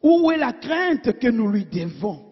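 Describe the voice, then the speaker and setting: Woman preacher, husky and high, rhetorical questions, loud then hushed; muffled church hall echo. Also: very animated, measured pace. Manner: asks the congregation rhetorical questions; swings between emphatic and hushed. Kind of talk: sermon. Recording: dull sound in a reverberant church hall.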